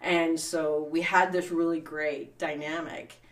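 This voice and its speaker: Low-voiced chatty Canadian woman. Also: flat delivery, measured pace. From born in Scotland, raised in Canada. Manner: chatty and upbeat, many fillers, laughs while telling stories. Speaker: guest, sewing shop owner. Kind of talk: casual interview chat. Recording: voice distant from the mic.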